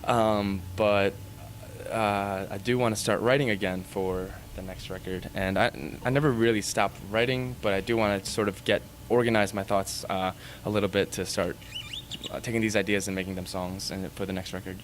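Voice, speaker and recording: A man's voice: fairly low pitch, fairly even intonation, normal speed, slight background noise. A man in his thirties, a band musician, answering interview questions in a hesitant, rambling way full of fillers. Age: 30s. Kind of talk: casual interview.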